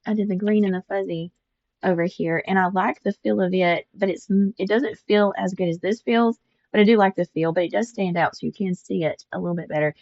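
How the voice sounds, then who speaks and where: A woman's voice: medium pitch, a little fast, a little mellow, lo-fi sound. An older woman, chatting casually about her stitching with many restarts and repeats. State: congested. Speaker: embroidery vlogger.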